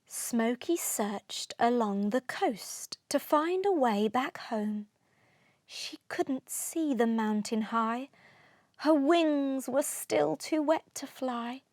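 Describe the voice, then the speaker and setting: A woman narrates a children's storybook in rhyming verse. Her voice is high and her delivery is slow, expressive and rhythmic. The recording is close and dry. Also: no echo. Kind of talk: expressive children's read-aloud.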